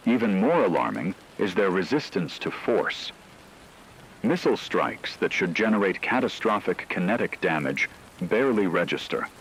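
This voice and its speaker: A low-pitched actor playing a male news anchor delivers catastrophe reports for a radio drama. He is solemn, formal and measured, with faint noise behind the voice. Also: fairly bright tone, heavily acted, rough recording. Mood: grave. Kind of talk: scripted breaking news narration.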